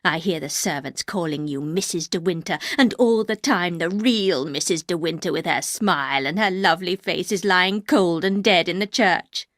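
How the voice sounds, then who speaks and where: A woman voicing a bitter, grieving older housekeeper who speaks in a harsh, cold, slow and accusing way. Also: lowish voice, a bit bright, no room sound, studio quality, natural voice.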